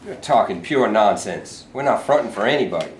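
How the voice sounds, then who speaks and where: A man's voice: on the low side, expressive, a little fast, close to an everyday voice. A man playing a defiant union negotiator, blunt and challenging, in a staged play reading. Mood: firm. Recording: echoey room, faint background noise.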